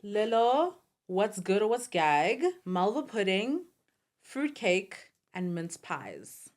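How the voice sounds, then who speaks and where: South African woman, mid-pitched podcast host. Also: very animated, takes it slowly. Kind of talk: casual chat.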